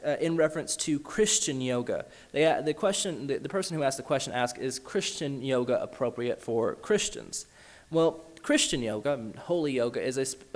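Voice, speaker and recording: Man, mid-range voice, church Q&A answerer; earnest, halting, restarts often. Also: mid-range voice. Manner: earnest, hesitant, many uh fillers and restarts. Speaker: preacher answering questions. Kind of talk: church Q&A talk.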